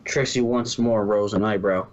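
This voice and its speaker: A man's voice: lowish voice, fairly even intonation, normal speed, a little mellow. A man narrating fan fiction informally, stumbling over words now and then, on a muffled home recording.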